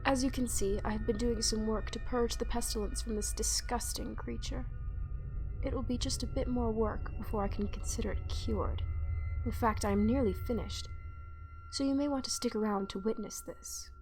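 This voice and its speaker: A woman's voice: fairly high pitch, steady tone, normal speed, a little mellow, natural voice. Haughty plague doctor woman, acted out, over music. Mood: composed, instructive. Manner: formal, old-fashioned diction, haughty. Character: female plague doctor creature, cold, obsessive, condescending contained researcher. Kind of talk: scripted horror ASMR roleplay.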